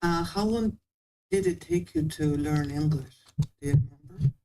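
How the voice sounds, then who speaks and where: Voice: mid-range voice, huge pitch swings, moderate pace, vocal fry, dark tone, a little hiss or hum, low-quality recording. A guest patiently explaining how to learn a language in a casual interview, with small non-native grammar slips.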